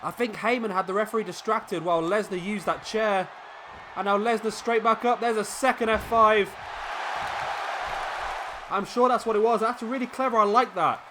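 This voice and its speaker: Gamer commentator: man, slightly high voice, a bit sniffly from a cold, excitable play-by-play, clear background noise. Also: moderate pace. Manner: excitable, rising during big moves. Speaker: gaming YouTuber commentating a wrestling game.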